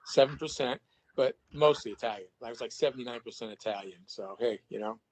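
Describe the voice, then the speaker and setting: A man's voice: medium pitch, fairly quick, rough recording. A man on a video call chatting casually about paranormal investigation, rambling with lots of restarts and repeated words.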